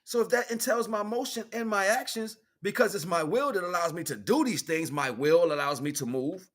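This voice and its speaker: Bright, emphatic American man preaching casually. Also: high voice, a little fast. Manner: emphatic, repeats phrases for stress; slangy, conversational, acts out imagined dialogue. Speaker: spiritual teacher and livestream host. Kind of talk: improvised spiritual talk, casual street preaching.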